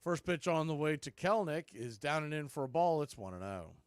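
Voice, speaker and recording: A man's voice: a little high, sing-song, a little fast, clean tone, no echo, studio quality. A man doing radio baseball play-by-play as the announcer, speaking in short, clipped phrases.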